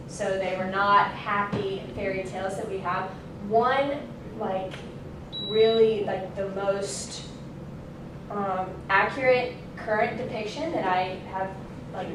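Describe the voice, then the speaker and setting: A young adult woman giving an informal student speech in a classroom, casual and loosely worded, correcting herself. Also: medium pitch. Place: indoor classroom. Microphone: distant camera microphone. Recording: very echoey, noisy room, distant mic.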